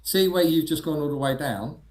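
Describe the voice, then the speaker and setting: A man's voice: a little high, brisk, echoey room. A man chatting casually as a fellow trader on a live stream. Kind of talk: casual chat.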